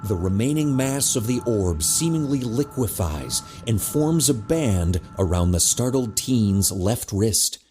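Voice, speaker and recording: A man's voice: on the low side, a little mellow, slight background noise. A man narrating a scripted teen adventure audio drama as the storyteller.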